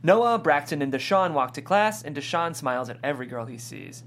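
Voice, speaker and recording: A man's voice: a little high, lively intonation, fairly quick, fairly bright tone. A man giving scripted narration, reading stage directions at a table read.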